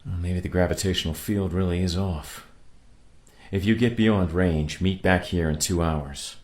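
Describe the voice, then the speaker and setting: Spaceship captain, male, played by an actor, low-pitched, grave and weary, used to giving orders, radio-play science fiction. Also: moderate pace, a little mellow, close to an everyday voice. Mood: uneasy, commanding.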